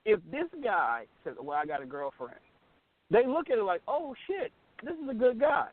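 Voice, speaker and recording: A man's voice: high voice, big pitch swings, moderate pace, no room sound. An American man co-hosting a casual radio discussion, speaking colloquially and emphatically. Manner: colloquial, emphatic, repeats phrases for effect. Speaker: radio show co-host. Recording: narrow phone-like sound.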